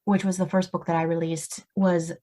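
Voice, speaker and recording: A woman's voice: lowish voice, level tone, normal speed, mellow. A woman speaking as a guest author in a casual interview, explaining things in a storytelling way with examples. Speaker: guest novelist.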